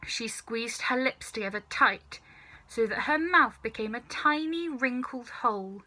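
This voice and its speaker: A woman storyteller giving an expressive scripted narration of a children's book. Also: fairly high pitch, unhurried, poor audio.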